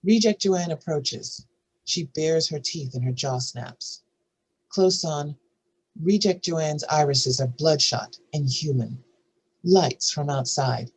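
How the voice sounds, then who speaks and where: A woman with a husky voice narrating screenplay stage directions in a scripted reading. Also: low voice, darkish tone, rough recording.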